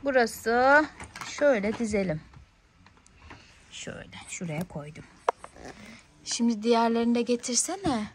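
A woman's voice: on the high side, lively intonation, unhurried, long pauses, slight background noise. A woman in a playful toy unboxing, talking to a small child in an encouraging way with lots of questions. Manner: encouraging, question-filled, child-directed talk. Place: indoors on a living-room sofa.

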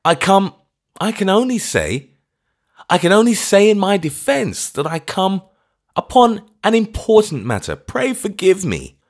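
A man's voice: high-pitched, big pitch swings, a little slow, pitched above the performer's own voice, exaggerated voice. A man voicing a meek, trembling old clergyman who stammers out anxious apologies. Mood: anxious pleading.

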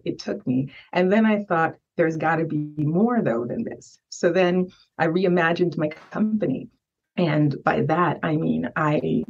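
A woman's voice: low voice, a little mellow, breathy edge, lo-fi sound. A Haitian-born woman whose first language is Haitian Creole, speaking as a podcast guest, emphatic and often checking in with "right?". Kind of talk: conversational storytelling.

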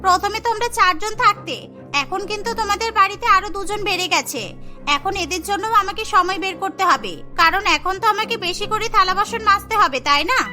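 A woman's voice: very high-pitched, normal speed, music in the background. A woman voicing a sassy, argumentative housemaid who talks back and haggles in a cartoon. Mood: haggling. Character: sassy, argumentative young housemaid demanding extra money.

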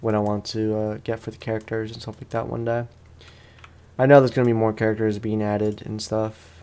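A man's voice: lowish voice, level tone, brisk, warm tone, clear, resonant tone. A man giving casual let's play commentary as a gaming host, in a rambling, slangy, self-deprecating way.

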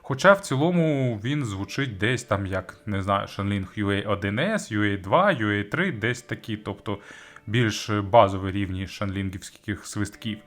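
A man's voice: lowish voice, animated, moderate pace, a bit bright. A man giving a conversational product review as host, searching for words and adding informal asides. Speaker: audio gear reviewer and host.

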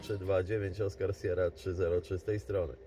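A man talking casually as an amateur radio operator, explaining things in a halting way. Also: deep voice, level tone, loud music behind the voice. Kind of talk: casual two-way radio chat.

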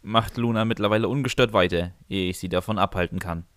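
A man with a fairly low voice narrates a comic first-person story. The sound is dry and close, with very little room around him. He reads from a script as the storyteller of a fan-made audio tale. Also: fast talker, dry recording.

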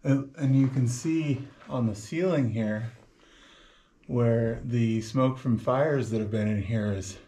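A man's voice: mid-range voice, slow, dark tone, reverberant. A man chatting casually on a road trip, pointing out sights in a plain, matter-of-fact way. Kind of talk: casual chat.

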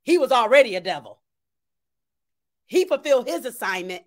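High-pitched woman preaching emphatically. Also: big pitch swings, normal speed. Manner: emphatic, exhortative, repeating phrases for weight. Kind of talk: impassioned sermon. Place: small home room, indoors.

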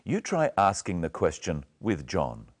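A man's voice: deep voice, wildly swooping pitch, a little slow. A man presenting a scripted English lesson, instructing learners in carefully enunciated phrases.

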